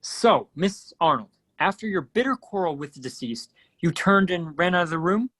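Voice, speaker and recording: A man playing a forceful, accusing district attorney in a radio play, theatrical and emphatic. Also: high-pitched, animated, moderate pace, dry recording. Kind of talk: radio play courtroom acting.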